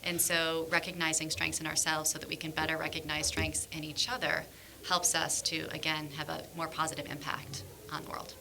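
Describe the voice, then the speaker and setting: Low, creaky, bright-toned woman. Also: little pitch movement, a little fast. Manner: thoughtful, articulate, polite. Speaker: guest, former school principal. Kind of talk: podcast interview answers.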